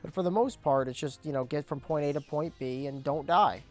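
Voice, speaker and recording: A young man casually commentating his gameplay, explaining objectives as he goes, his voice cracking and squeaking now and then. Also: a little fast, faint music behind. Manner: chatty, explains objectives as he plays. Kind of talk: casual let's play commentary.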